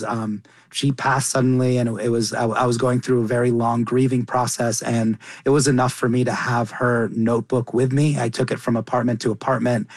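A man's voice: medium pitch, no room sound. A young man from Qatar, a chef and cookbook author, talking earnestly as a radio interview guest and often restarting his sentences. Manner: earnest, with frequent restarts and repeated words.

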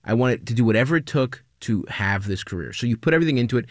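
A man's voice: medium pitch, lively intonation, fast, dry recording, clean studio sound. A man talking candidly and informally about himself as a comedian in an interview. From Greek immigrant. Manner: informal, confessional. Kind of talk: casual, candid interview chat.

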